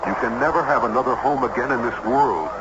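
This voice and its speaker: An actor playing an earnest, urgent traveler who narrates a supernatural tale in a literary, dramatic old radio style. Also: male voice, mid-range voice, fast talker, natural voice. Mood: pleading, earnest. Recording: muffled, very noisy old radio recording.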